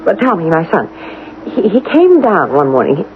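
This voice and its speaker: A woman's voice: lowish voice, very animated. An actress playing an anxious, stammering young wife and mother in a 1970s radio drama. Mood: frightened. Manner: nervous, stammering, halting. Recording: lo-fi, noisy old radio broadcast.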